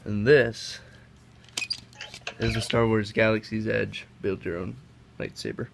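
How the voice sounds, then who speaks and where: A man's voice: fairly low pitch, steady tone, measured pace, creaky. A young man who is sick and sniffling, chatting casually and rambling as he handles toys at a table. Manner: chatty, rambling with self-corrections. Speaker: toy reviewer. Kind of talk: casual hands-on product comparison. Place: indoors at a table. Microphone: camera mic, off-screen above the table. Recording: noisy home recording.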